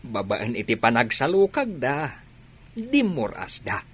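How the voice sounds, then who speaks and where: Older male actor as family man, husky voice, mid pitch with lively swings, everyday drama talk. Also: a little slow, full character voice. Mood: conversational, earnest. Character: older father chatting about family and business.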